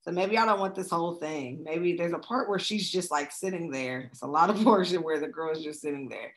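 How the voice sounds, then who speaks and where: Chatty woman with a slightly raspy voice. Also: fairly low pitch, brisk. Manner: chatty, casual, keeps checking in with "okay?". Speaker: travel agent teaching video making. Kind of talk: screen-recorded tutorial walkthrough.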